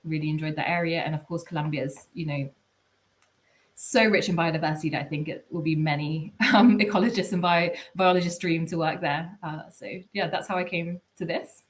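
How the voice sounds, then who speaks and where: A young woman with a slightly low voice answers interview questions in a loose, chatty way. She restarts her sentences often and fills pauses with um and like. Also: steady tone. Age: young adult. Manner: chatty, many restarts and fillers. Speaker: guest, PhD researcher.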